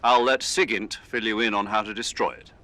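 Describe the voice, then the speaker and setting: Stern army commander briefing an agent, forceful edge, lowish voice, game acting. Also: male voice, steady tone, no echo. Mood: commanding. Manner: grave, measured, commanding. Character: authoritative male military commander briefing an agent by radio. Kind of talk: dramatic video game mission briefing.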